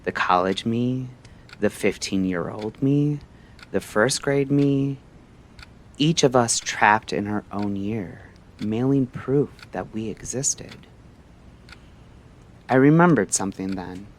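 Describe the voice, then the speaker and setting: A man narrating a scripted story like a late-night radio host, in a measured, theatrical way with short phrases and pauses. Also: on the low side.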